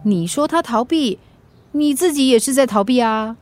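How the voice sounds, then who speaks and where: A caring female friend in a radio drama, high in pitch with a Singaporean accent. She is helpful and reassuring, with a habit of gentle nagging. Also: lively intonation, normal speed, faint music behind. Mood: concerned, helpful. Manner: solicitous, reassuring.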